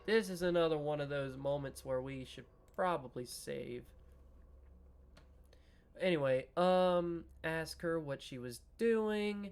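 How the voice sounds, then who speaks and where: A man's voice: a little high, fairly bright tone, clean tone. A man hosting a gaming video, reading a visual novel aloud, musing over choices, talking to viewers and swearing casually. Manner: reads story text, then muses aloud and swears casually; talks to viewers while deciding.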